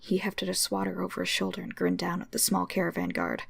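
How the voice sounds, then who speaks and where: Very creaky, husky woman reading a story. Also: on the low side, very animated, quick pace, no echo. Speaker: story reader. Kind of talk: dramatic scripted narration of fan fiction.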